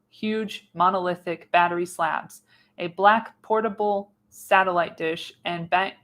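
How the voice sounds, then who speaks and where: A woman serves as narrator for a radio play, reading scene headings and action from a script. Her voice sits a little lower than most women's. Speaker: narrator, podcast host. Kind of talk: scripted narration of screenplay stage directions.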